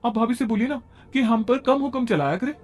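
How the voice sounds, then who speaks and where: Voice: medium pitch, brisk, soft background music, pitched above the performer's own voice, lightly acted. A woman voicing a defiant, wronged younger sister in a cartoon story, protesting and pleading.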